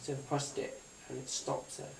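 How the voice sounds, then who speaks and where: A man giving a casual, unscripted gadget demonstration in a rambling, hesitant way, often repeating his phrases. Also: normal speed. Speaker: gadget review YouTuber. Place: indoors at a tabletop, away from the mic. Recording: roomy, distant mic, faint hiss and clicks.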